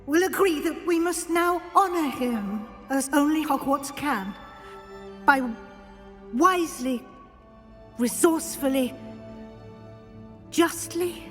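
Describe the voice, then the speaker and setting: A solemn professor giving a eulogy. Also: female voice, high voice. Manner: earnest, measured, solemn. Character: earnest older female professor delivering a eulogy. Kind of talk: video game cutscene acting. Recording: echoey hall reverb, faint music score.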